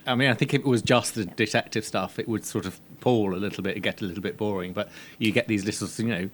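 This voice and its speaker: A man speaks as a panellist at a live screening discussion. His voice is on the low side and he talks at a rapid clip, with an enthusiastic, digressive way of making points. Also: steady tone, a little hiss or hum. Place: live event at a film screening.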